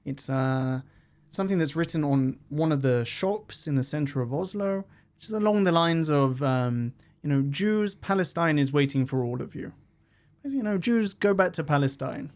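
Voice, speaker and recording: Man, measured interview guest. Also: on the high side, expressive, darkish tone. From Israel. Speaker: diplomat guest. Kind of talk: conversational interview.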